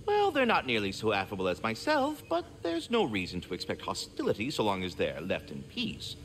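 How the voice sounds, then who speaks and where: A man's voice: fairly low pitch, huge pitch swings, brisk, a bit bright, slight background noise, poor audio. A man voicing a chatty, courtly talking squirrel in a video game, fussy and affable with pompous, theatrical enunciation. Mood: cheerful, instructive. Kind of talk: video game character acting.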